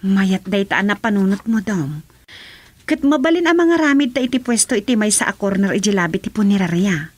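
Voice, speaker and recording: A woman's voice: medium pitch, lively intonation, fairly quick, no room sound, lightly acted. A woman acting as a chatty, good-humoured townswoman in an Ilocano radio comedy drama, with an Ilocano accent. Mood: interested, approving.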